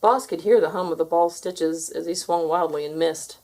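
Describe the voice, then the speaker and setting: Woman, slightly low voice, children's storybook reader, expressive scripted narration. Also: normal speed.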